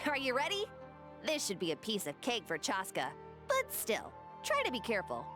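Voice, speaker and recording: A high, caring young organizer. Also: female voice, wildly swooping pitch, normal speed. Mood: encouraging. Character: bright, caring young female arena organizer, slightly anxious. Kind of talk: video game character acting. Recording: loud game music behind the voice.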